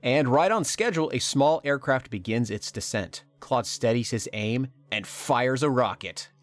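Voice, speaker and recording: A man co-hosting a podcast, reading a scripted recap of a game story with wry humor and dramatic pauses. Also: medium pitch, animated. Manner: wry, dramatic pauses, laughs at own lines. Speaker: podcast co-host. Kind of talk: scripted narration of a game plot.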